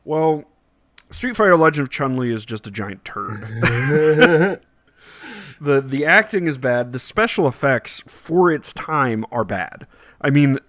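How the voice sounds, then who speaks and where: A man's voice: mid-range voice, lively intonation, takes it slowly, long pauses, fairly bright tone, no echo. Casual man podcasting, close dry sound. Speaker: podcast co-host. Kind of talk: casual chat about films and games.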